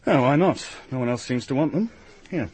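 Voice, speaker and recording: A man with a British accent playing a pragmatic, world-weary police detective in a radio drama, dry, offhand and husky. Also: mid-range voice, expressive, fairly quick, darkish tone, a little hiss or hum, close to an everyday voice.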